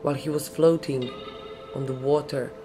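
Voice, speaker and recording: Storyteller for a scripted fairytale short, mid-pitched, music and chimes underneath. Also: fairly even intonation, normal speed. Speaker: narrator. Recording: music behind the voice.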